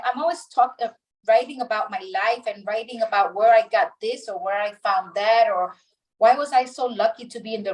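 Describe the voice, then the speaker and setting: A middle-aged woman from the Dominican Republic tells her life story with a fairly high voice, pausing and restarting as she goes. She sounds set back from the microphone. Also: far from the mic, poor audio. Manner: halting, many restarts and pauses. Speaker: interview guest. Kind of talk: reflective personal storytelling.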